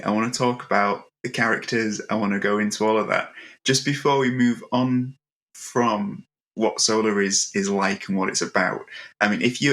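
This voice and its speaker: A man's voice: fairly low pitch, a bit bright. A man hosting a casual interview, talking enthusiastically and addressing guests and listeners. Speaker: interview host.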